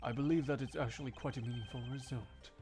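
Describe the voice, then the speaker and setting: A man playing a cool, cerebral investigator with a measured, formal, confident delivery in a game fandub. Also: mid-range voice, fairly even intonation, darkish tone, close to an everyday voice. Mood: composed, pointed. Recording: music behind the voice.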